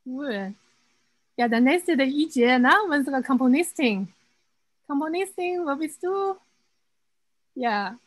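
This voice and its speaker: A woman's voice: high-pitched, lively intonation, slow, pauses often, low-quality recording. A woman hosting a stream, chatting in a friendly, loose way and introducing the next guest. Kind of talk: casual chat on a video call.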